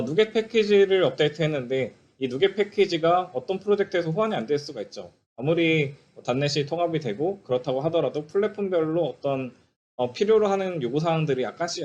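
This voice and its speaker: A man giving a technical presentation as a software developer, explaining politely with hesitant pauses. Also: a little high, a little hiss or hum. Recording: dull lo-fi audio.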